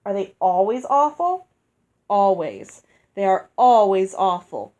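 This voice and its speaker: A woman reading aloud and voicing a gruff older fairy woman who talks bluntly and scolds. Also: mid-range voice, sing-song, takes it slowly, a little mellow, highs cut off. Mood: brusque. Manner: brusque, scolding. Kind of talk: read-aloud storybook dialogue.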